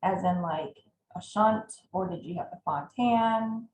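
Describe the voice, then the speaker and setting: A woman's voice: on the low side, a little slow, darkish tone. Echoey woman in her forties, hesitant. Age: 40s. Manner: hesitant, many filler ums. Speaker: podcast host. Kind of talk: casual live podcast chat. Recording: echoey room with faint ambience.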